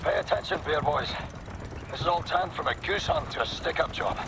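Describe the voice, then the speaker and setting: A man's voice: fairly high pitch, lively intonation, brisk, natural voice. An actor playing a brash, crude, sardonic soldier rallying his comrades in a video game. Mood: scolding. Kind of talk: video game cutscene acting. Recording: very loud battle and vehicle noise.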